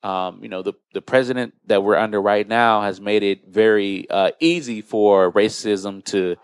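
An American man with a low voice talks as a podcast host. He explains and recounts in a conversational style, and the recording is dry and close. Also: fairly even intonation, normal speed, clean tone, no echo. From United States.

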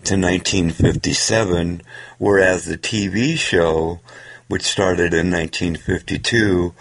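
Slow, low-voiced man with a gravelly edge. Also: low-quality recording. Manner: halting, hesitant delivery. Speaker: podcast host. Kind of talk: informal introduction to an old radio show.

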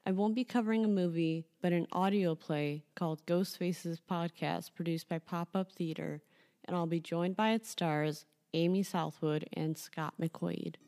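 A woman's voice: fairly low pitch, steady tone, unhurried, dark tone. A woman presenting a podcast as its host.

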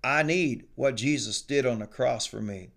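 A man reads a gruff old country man in a storybook, earnest and humble, with a gravelly, folksy voice. He speaks plainly, at a mid pitch. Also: moderate pace, a bit bright.

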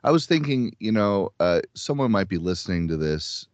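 A man's voice: deep voice, sing-song, normal speed, no echo. A man with a Midwestern accent, co-hosting a casual podcast discussion in a conversational way. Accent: Midwestern American. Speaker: podcast co-host.

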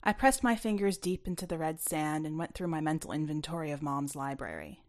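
A woman reading her own short story aloud as expressive first-person narration. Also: deep voice, brisk.

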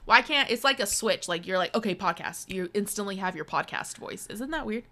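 A woman's voice: mid-range voice, animated, fairly quick, bright, forceful tone. A woman in her twenties, chatting casually as a podcast co-host, full of "like" and "bro" and cheerful swearing. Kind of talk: casual chat with a friend.

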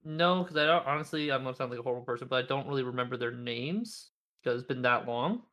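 Young man, quick delivery, crisp bright voice, chatty, reflective. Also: medium pitch. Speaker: podcast co-host. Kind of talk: casual chat.

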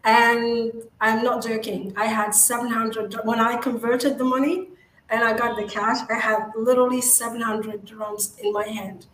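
A young woman from Morocco whose first languages are Arabic and French, talking candidly as a podcast guest. Her voice sits a little high, with plenty of uhs and restarts as she goes. The room is echoey, with a faint hum of ambience underneath. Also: flat delivery, normal speed, a bit bright. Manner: candid storytelling, frequent fillers and restarts. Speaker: guest entrepreneur. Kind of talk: podcast interview. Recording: roomy studio echo with faint ambience.

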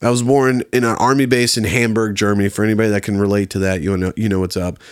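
A man's voice: on the low side, steady tone, fast talker, smooth, dry recording, studio quality. A man in his thirties from Virginia, a podcast guest talking casually, laid-back, laughing often and swearing freely. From Virginia, USA. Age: 30s. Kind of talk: casual chat.

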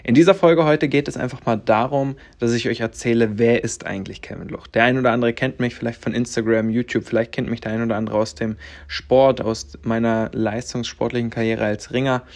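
A man's voice: mid-range voice, fairly even intonation, fairly quick, a little mellow. A man in his twenties hosting a personal podcast, talking earnestly and rambling with many fillers. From Germany, raised in Latvia. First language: German and Russian, bilingual. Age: 20s. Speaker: podcast host.

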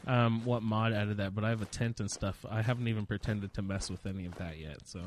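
A man's voice: on the low side, steady tone, brisk, a little hiss or hum. A man giving casual, rambling gameplay commentary, self-deprecating and jokey, sniffling a little with a slight cold. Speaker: gamer narrating own playthrough.

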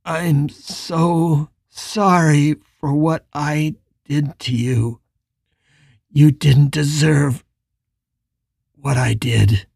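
A reader putting on a remorseful middle-aged man, his voice dropped well below the narration, with a gravelly rasp and a slow, heavy delivery. He pleads with emotion, in a dry, close storybook reading. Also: mid-range voice, a little mellow, no room sound, pitched below the performer's own voice, heavily acted. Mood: pleading.

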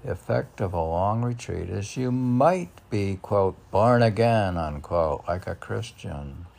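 A man, a poet, reading his own work informally in a gravelly voice, musing and quizzical as if talking to himself. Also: lowish voice, animated, a little slow, darkish tone. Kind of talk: informal poetry reading with self-questioning asides.

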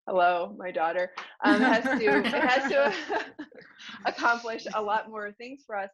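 Woman guest, lowish voice, measured and reflective, muffled lo-fi sound. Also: a bit bright. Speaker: professional organizer guest. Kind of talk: conversational explanation. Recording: dull lo-fi audio.

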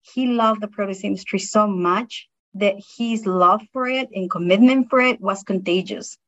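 The recording is a little muffled and lo-fi. A middle-aged woman speaks English as a second language, with a non-native accent. She talks in an earnest, appreciative way, like a guest reflecting in an interview. Her pitch sits in an ordinary female range. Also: moderate pace, fairly bright tone. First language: not English. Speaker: interview guest, produce industry science manager.

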